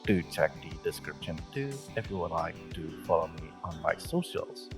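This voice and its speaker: A man's voice: deep voice, expressive, moderate pace, music in the background. A man speaking as a channel host in a casual outro to listeners.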